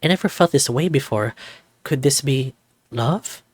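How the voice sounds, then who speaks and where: A man's voice: mid-range voice, measured pace, no echo, natural voice. A man voicing an earnest, affectionate young male deer in a visual novel, sincere and plain-spoken. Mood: tender, reflective.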